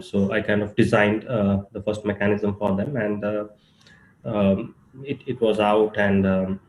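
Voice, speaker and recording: A man with a cold talks in a low, level voice that barely rises or falls. He speaks haltingly, with many ums, over a video call from an echoey room with faint background noise. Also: a little mellow, muffled recording. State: has a cold. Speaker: startup founder panelist. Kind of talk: virtual panel talk.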